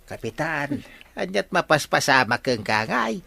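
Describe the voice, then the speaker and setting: A man's voice: a little high, very animated, a little slow, creaky, sharp tone, no room sound, full character voice. An actor with an Ilocano accent playing a deferential male villager in a comedy radio drama. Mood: puzzled, respectful.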